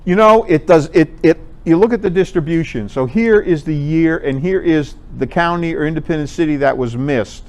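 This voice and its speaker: A man's voice: fairly high pitch, moderate pace, few pauses. A man giving an informal, explanatory slide presentation to a group in a conversational way. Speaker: presenter at an amateur radio club talk.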